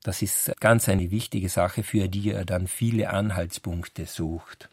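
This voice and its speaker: A man explaining things deliberately, phrase by phrase, as a literary scholar in an interview. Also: low-pitched, steady tone, breathy edge, no echo, clean studio sound.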